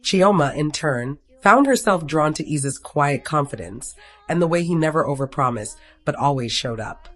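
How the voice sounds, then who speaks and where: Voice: medium pitch, lively intonation, normal speed, a bit bright, soft background music. A narrator reading a scripted romantic story, telling it in the third person.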